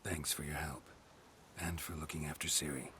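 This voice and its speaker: An actor playing a stoic middle-aged monster hunter in a video game, gravelly and terse, with a deadpan, protective way of talking. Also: male voice, a bass voice, moderate pace, background noise. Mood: terse, wary.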